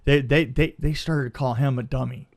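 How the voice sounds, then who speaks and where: A middle-aged man telling a casual anecdote in an emphatic, halting way, repeating phrases and checking in with the listener. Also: medium pitch, fairly even intonation, creaky. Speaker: podcast guest, film crew veteran.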